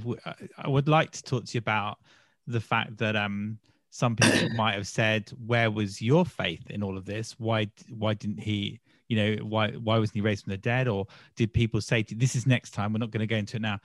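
Male podcast host, lowish voice, dry close sound, conversational, tentative and halting in his phrasing. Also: steady tone, no echo. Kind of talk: conversational hosting.

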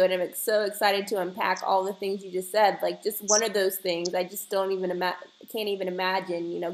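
Woman podcast host: mid-pitched, excited, chatty, lots of fillers. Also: low-quality recording. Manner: enthusiastic, chatty, full of fillers. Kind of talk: podcast interview.

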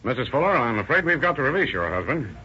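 A man playing a shrewd, steady sheriff. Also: mid-range voice, over loud music, lightly acted. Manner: measured, authoritative. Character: shrewd, calm male county sheriff. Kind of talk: 1940s radio acting. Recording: dull old radio recording with faint hiss.

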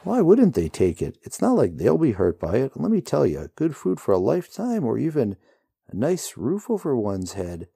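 A man's voice: medium pitch, huge pitch swings, moderate pace, vocal fry, dark tone, husky, no echo, clean studio sound, pitched above the performer's own voice, exaggerated voice. A man voicing a cheery, folksy woman who rides an emu, chatting casually about grim things. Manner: chatty, folksy, drawling asides. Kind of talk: storybook reading.

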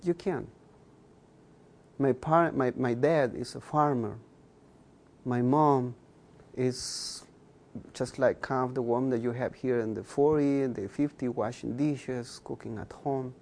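Slow gravelly guy w a k'iche' mayan accent, earnest, repeats himself to make a point. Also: medium pitch, darkish tone. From Guatemala. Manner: earnest, repeats phrases for emphasis. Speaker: guest. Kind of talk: earnest interview talk.

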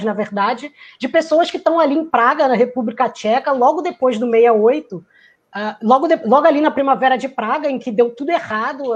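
A woman with a high voice gives a reflective talk, speaking like someone from the theater. She muses aloud and searches for words. When she quotes people, she briefly acts out their lines. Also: slightly rough. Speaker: theater performer giving a talk.

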